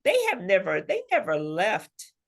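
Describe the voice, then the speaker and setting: A woman's voice: on the low side, huge pitch swings, a little slow, vocal fry. A woman talking as an interview guest and author, telling stories expressively and acting out imagined bits of dialogue. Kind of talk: conversational interview, animated storytelling.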